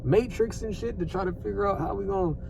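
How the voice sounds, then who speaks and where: A man's voice: high-pitched, quick pace, vocal fry, darkish tone, a little hiss or hum. American man vlogging, a bit distant. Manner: conversational, repetitive, peppered with slang and swearing. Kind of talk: casual off-the-cuff news commentary.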